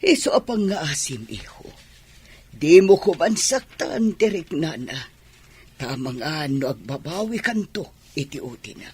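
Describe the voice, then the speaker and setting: A woman acting an admonishing mother of a grown son, with a husky voice, in a radio drama. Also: low-pitched, big pitch swings, unhurried, a little mellow, exaggerated voice. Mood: gently reproachful.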